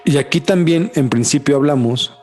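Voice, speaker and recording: A young Mexican man tells a story on a podcast, slangy and lively, dropping "güey" into nearly every phrase. His voice sits a little high for a man. Faint music plays under the talk. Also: normal speed. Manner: slangy, says "güey" constantly, animated storytelling. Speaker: podcast co-host. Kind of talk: casual chat.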